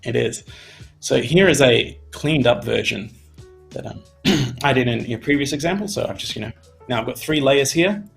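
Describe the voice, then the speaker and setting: A man's voice: medium pitch, animated, moderate pace, gravelly, soft background music. A young man from Australia giving a casual, chatty tutorial from a home studio on a desk mic, his voice tiring, with lots of "you know" fillers. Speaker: animation instructor. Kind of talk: casual live-stream tutorial.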